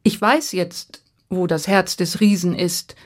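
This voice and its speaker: A woman's voice: on the low side, slow. A woman voicing a young male prince who sounds earnest and helpless, his voice raised and pleading.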